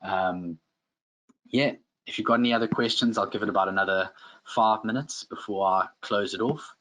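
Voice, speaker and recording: A man's voice: lowish voice, normal speed, a bit bright, rough recording. A man with a South African accent presenting an informal live tutorial as a webinar instructor, often hesitant and searching for words.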